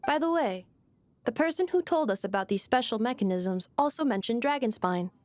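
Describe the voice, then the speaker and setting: A woman's voice: on the high side, animated, a little fast, darkish tone, dry recording, lightly acted. A woman voicing a polite, dutiful female robot in a video game, formal and precise in manner. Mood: polite, composed. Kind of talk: scripted video game voice acting.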